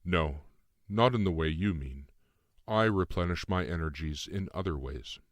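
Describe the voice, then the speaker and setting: A man voicing a calm, cryptic shape-shifting spirit guide in an audiobook, speaking in a measured, formal way. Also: deep voice, no room sound. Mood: courteous. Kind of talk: audiobook character dialogue.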